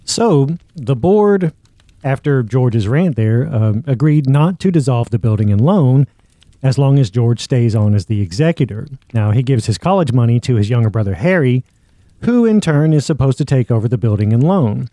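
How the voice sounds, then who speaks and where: A man's voice: medium pitch, expressive, normal speed, warm tone, dry recording. A man hosting a podcast, recapping a story in a casual, conversational way. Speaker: podcast host. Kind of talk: casual plot recap narration.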